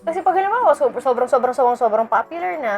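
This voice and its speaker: A woman's voice: sits high, animated, fast. A Filipino woman chatting casually as a fan commentator, talking emphatically and repeating her phrases. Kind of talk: casual reaction chat. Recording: music playing behind the voice.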